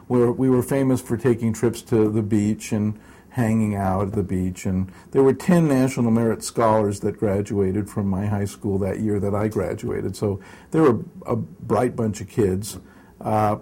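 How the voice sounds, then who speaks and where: A man's voice: fairly low pitch, mellow, breathy edge. A man in his sixties raised in Southern California, telling his life story in an oral history interview with many hesitations and wry asides. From United States, raised in Southern California. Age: 60s. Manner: rambling, hesitant with many fillers and restarts; wry, self-mocking humor.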